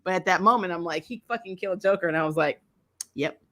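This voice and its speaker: A woman co-hosting a casual comic book chat, telling things in an animated, sarcastic way with mild swearing. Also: fairly low pitch, quick pace. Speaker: co-host of a comic book discussion stream. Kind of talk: casual fan chat, reading and answering viewer comments.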